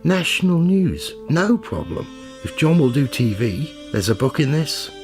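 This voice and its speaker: An actor playing an excited, driven investigator thinking aloud in a radio play monologue. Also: male voice, on the high side, unhurried, soft background music. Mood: excited, impatient.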